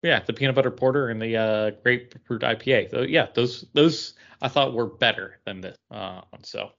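A man's voice: mid-range voice, normal speed, gravelly, a bit bright, lo-fi sound. A man chatting casually as a podcast co-host. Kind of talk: casual chat.

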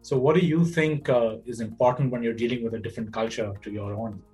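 A man's voice: mid-range voice, fairly even intonation, fast talker, a little mellow, soft background music. A man hosting a podcast, asking long rambling questions and tagging them with "right?" and "you know". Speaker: podcast host. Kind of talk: casual interview conversation.

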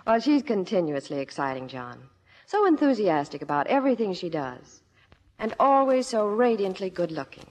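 A woman's voice: medium pitch, big pitch swings, normal speed. A woman working as a Hollywood reporter, delivering scripted promotional chat on 1950s radio. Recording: echoey theater, vintage radio broadcast.

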